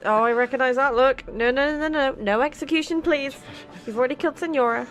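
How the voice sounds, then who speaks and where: A woman's voice: high-pitched, fast talker, a bit bright. A woman gaming creator giving casual, informal, reactive let's play commentary. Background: faint game music.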